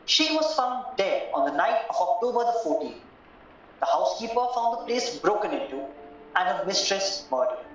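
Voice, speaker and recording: A formal, earnest solicitor acted by a woman in an audio play, precise in delivery. The voice moves animatedly in pitch and comes through muffled and heavily echoing. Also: very animated, moderate pace, a little hiss or hum, lightly acted. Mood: grave, formal. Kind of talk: stage-style audio play acting. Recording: very echoey, distant, muffled, music behind.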